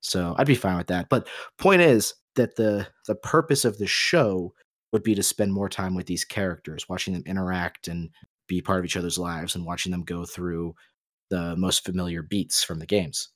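A man's voice: sits low, moderate pace, no echo, clean studio sound. A man co-hosting a casual, opinionated discussion, explaining his points at length in a rambling, emphatic way. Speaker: podcast co-host.

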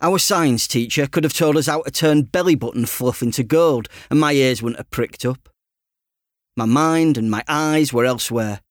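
A man reading an audiobook in the first person as a teenage boy, conversational, self-deprecating and expressive. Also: medium pitch, normal speed, no room sound, studio quality. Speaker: author reading his own audiobook.

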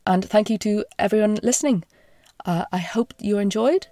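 A woman with a croaky voice from a chest infection, hosting a podcast and retelling an old myth in a slow, hushed, half-whispered way. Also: medium pitch, no room sound, clean studio sound. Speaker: podcast host and storyteller.

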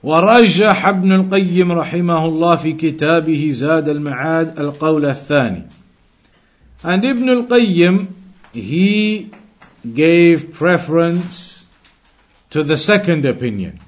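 A man with a slightly high voice teaches a religious lesson. He explains in a didactic way, repeating and rephrasing key phrases so each point lands. He moves between quoted texts and plain explanation. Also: lively intonation, fairly quick. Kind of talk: explanatory Islamic lesson, translating quoted Arabic into English.

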